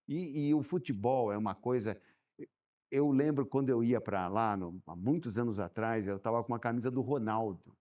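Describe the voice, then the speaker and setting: A man chatting on a podcast, telling animated stories and repeating words as he thinks. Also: medium pitch, moderate pace. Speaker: guest business owner. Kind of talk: casual podcast chat.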